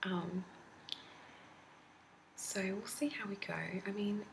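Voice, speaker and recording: Softly whispering Australian woman. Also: medium pitch, slow. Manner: soft whispering, hesitant with many ums, rambling. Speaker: ASMR creator. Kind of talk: whispered ASMR talking video. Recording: steady background noise.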